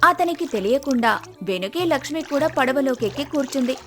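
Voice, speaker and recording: A woman's voice: high voice, animated, fairly quick, fairly bright tone, soft background music. A woman storyteller reading a scripted moral tale as narration.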